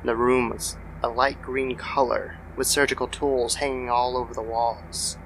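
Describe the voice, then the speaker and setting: A man's voice: medium pitch, level tone, measured pace, vocal fry, fairly bright tone, slight background noise, poor audio. A man narrating a scripted horror story in the style of old-time radio. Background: background music.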